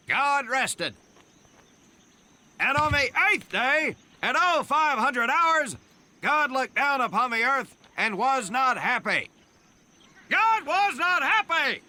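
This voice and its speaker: Domineering drill instructor, very high forceful voice, slow mock-biblical delivery, sardonic, war game. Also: male voice, exaggerated voice. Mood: shouting. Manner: declamatory mock-biblical oratory, barking orders. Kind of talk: theatrical military speech in a war game.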